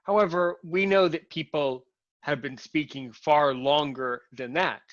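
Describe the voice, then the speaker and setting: A man's voice: a little high, unhurried, dry recording. Man talking with many restarts, muffled sound. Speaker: host. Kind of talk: casual conversation.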